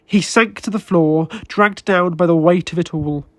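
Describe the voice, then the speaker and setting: A man reading literary fiction as a narrator, with a deliberate, emotive delivery and dramatic pauses. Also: sits high, no echo. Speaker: narrator and author reading his own short story. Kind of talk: dramatic scripted audiobook narration.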